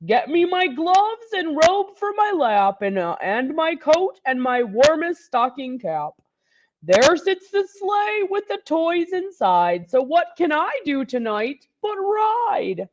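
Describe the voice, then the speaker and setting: A woman voicing a jolly old Santa Claus, booming and hearty, with loud excited exclamations. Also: slow pace, heavily acted. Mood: jovial. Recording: dull lo-fi recording.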